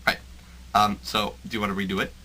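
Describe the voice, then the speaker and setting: A man's voice: fairly low pitch, level tone, quick pace, bright, forceful tone, slight background noise, poor audio. A man in casual conversation with fellow cast members of an amateur audio drama. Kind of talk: casual chat.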